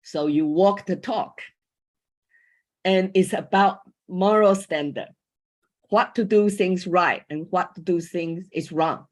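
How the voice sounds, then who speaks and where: A middle-aged woman speaks unhurriedly in a lowish voice, a Chinese speaker presenting to an online panel. She chooses her words with care, filling her pauses with soft ums. The sound is that of a video call. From Taiwan. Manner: measured, thoughtful, pauses often with ums. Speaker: guest speaker, coach and former teacher.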